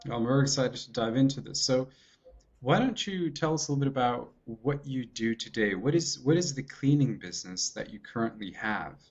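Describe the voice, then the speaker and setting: A man's voice: medium pitch, animated, normal speed. A man hosting a podcast interview, encouraging his guest with short affirmations. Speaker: podcast host. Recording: dull muffled audio.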